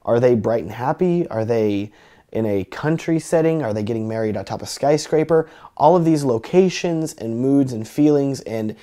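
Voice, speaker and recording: A young man with a slightly raspy, cold-roughened voice, teaching color grading in a chatty, joking tutorial. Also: medium pitch, dark tone. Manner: joking, chatty, self-deprecating. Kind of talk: casual tutorial talk.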